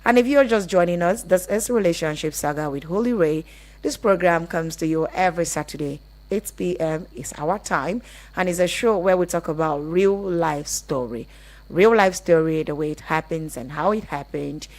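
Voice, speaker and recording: A woman's voice: lowish voice, normal speed, a little mellow. A woman with a Nigerian accent hosting a live relationship call-in show on radio.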